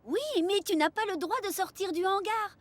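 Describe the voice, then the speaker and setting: A woman's voice: very high-pitched, normal speed, no room sound. A woman voicing a cheerful, eager young female steam engine in a French-dubbed children's cartoon, with a bubbly, exclamatory delivery. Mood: teasing.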